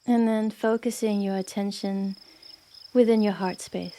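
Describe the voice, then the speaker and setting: A woman guiding a yoga nidra meditation in a gentle, unhurried manner with long pauses. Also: medium pitch, fairly even intonation, a little hiss or hum. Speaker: meditation guide. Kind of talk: guided yoga nidra meditation, soothing narration.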